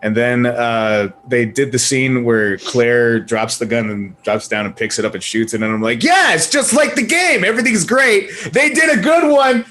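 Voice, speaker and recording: A man's voice: medium pitch, animated, moderate pace, hardly any pauses, pushed and bright. A man chatting casually as a panelist on a gaming show, telling stories and ranting about films in a sweary, jokey way. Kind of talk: casual chat, animated film rant.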